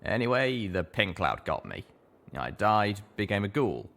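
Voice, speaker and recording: Man doing a snarky, creaky century-old ghoul colt. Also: on the low side, sing-song, unhurried, a bit bright, full character voice. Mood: flat, brusque. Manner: sardonic, weary rambling. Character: century-old ghoul pony in a colt's body, snarky, jaded.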